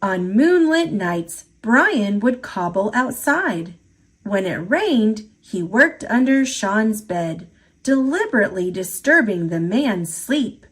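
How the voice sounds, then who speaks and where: A woman reading a children's picture book aloud in an expressive storytelling style. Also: medium pitch, takes it slowly, husky. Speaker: storybook reader.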